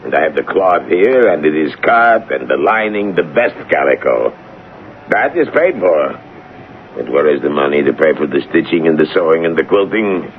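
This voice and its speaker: An actor playing a gruff, stingy, brandy-loving Russian tailor who grumbles and haggles bluntly in a radio play. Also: male voice, very deep voice, expressive, normal speed, narrow, tinny audio, slight background noise. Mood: gruff, haggling. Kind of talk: 1970s radio drama acting. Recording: band-limited old radio recording, music.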